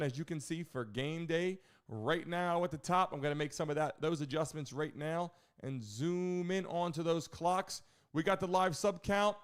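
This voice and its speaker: A man's voice: high-pitched, clean tone. A man hosting a casual live sports stream, talking to viewers in an informal hype style with catchphrases.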